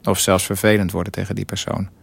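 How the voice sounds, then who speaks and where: A low, gravelly, blunt husband. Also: male voice, flat delivery, fast talker, full character voice. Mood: weary, bleak. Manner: blunt, clipped, crude sentences. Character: blunt, bitter husband of a dying wife, narrating. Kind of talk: radio drama, confessional narration. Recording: music behind the voice.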